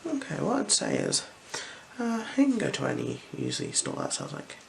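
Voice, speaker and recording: A gentle, unhurried ASMR performer speaking softly and slipping into whispers while role-playing an eye examiner. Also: medium pitch, huge pitch swings. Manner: gentle, unhurried, soothing instructions. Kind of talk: ASMR role-play, soft-spoken with whispers. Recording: clear background noise.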